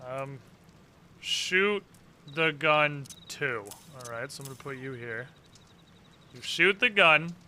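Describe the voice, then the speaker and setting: A man's voice: medium pitch, animated, slow, long pauses, bright, forceful tone, slight background noise. A man giving casual live commentary as he plays a game, muttering to himself and swearing freely. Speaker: game streamer.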